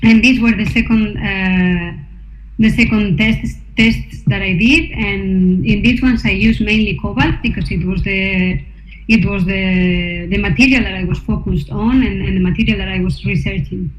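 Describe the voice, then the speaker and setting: A woman's voice: mid-range voice, fairly even intonation, moderate pace. A woman artist presenting her research to slides, hesitant, with many fillers and restarts. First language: not Norwegian. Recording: echoey room, dull sound, faint noise.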